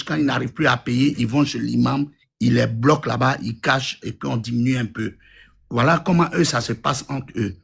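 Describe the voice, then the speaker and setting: A man's voice: mid-range voice, gravelly, breathy edge. A man in his fifties from Côte d'Ivoire, calling into a live talk room and speaking bluntly and insistently. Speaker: caller. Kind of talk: live audio room call-in.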